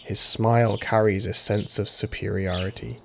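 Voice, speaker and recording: A man's voice: lowish voice, steady tone, normal speed, gravelly, noisy background. A man reading a visual novel aloud as a let's player, with informal, cheeky, sweary asides. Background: game music.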